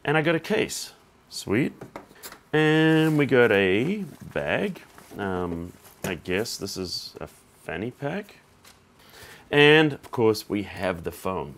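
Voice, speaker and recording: A man with a slightly hoarse voice hosting a casual unboxing vlog, thinking aloud in an informal, conversational way. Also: on the low side, big pitch swings, slow, fairly bright tone, slight background noise. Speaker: tech reviewer and channel host.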